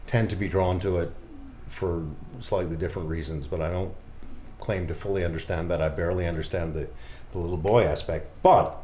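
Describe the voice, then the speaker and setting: A middle-aged man from the Boston area, a magician, telling halting anecdotes in casual conversation. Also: low voice, fairly even intonation, mellow, roomy sound, slight background noise. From Boston area, United States. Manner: halting, anecdotal storytelling with many restarts. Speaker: guest, magician.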